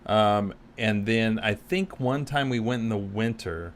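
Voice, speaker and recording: A man's voice: on the low side, unhurried. American man chatting over audible background noise. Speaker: podcast co-host. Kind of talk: casual conversational chat.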